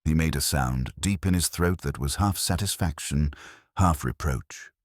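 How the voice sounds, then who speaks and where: A man's voice: a bass voice, a little slow, no room sound, studio quality. A man reading scripted first-person detective fiction as an audiobook narrator.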